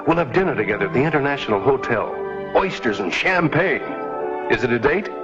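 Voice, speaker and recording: An actor playing an encouraging young mine superintendent in an old-time Western radio drama, with an earnest manner. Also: male voice, medium pitch, animated, a little fast, close to an everyday voice. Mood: upbeat, warm. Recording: muffled old radio drama recording, music behind.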